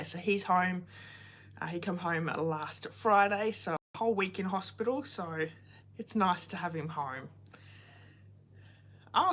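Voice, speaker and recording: A woman's voice: fairly low pitch, a bit bright. A woman, hosting a casual card-making livestream, chatty and greeting viewers by name while coming down with a cold and a sore throat.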